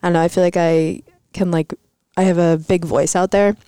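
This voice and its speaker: A woman's voice: fairly low pitch, steady tone, fairly quick, dark tone, clear, resonant tone, no room sound. Giggly young woman, dry close sound. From Connecticut. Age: young adult. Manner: giggly, casual, filler-heavy. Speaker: college softball first baseman.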